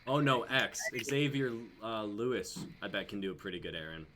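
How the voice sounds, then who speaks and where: A man's voice: lowish voice, expressive, moderate pace, background noise. A man in his twenties chatting casually as he hosts a live-streamed reading group. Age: 20s.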